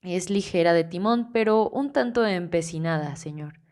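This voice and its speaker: A woman's voice: lowish voice, normal speed, darkish tone, close to an everyday voice. A woman reading the lines of an earnest, cautious young prince, polite and careful, in an audiobook.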